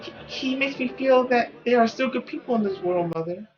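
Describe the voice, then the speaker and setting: Man voicing a soft, higher-pitched timid girl. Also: brisk, dull audio, music in the background. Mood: pleading. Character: timid, pleading young peasant daughter.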